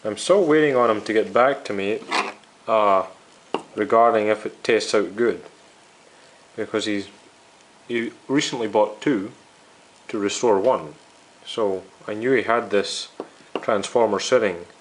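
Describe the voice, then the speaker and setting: A man with a fairly low voice talks through a hobby project for his viewers. His delivery is unscripted and a little downbeat, with hesitant pauses as he explains things step by step. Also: rough recording. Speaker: hobbyist radio restorer and video host. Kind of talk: informal unscripted video narration.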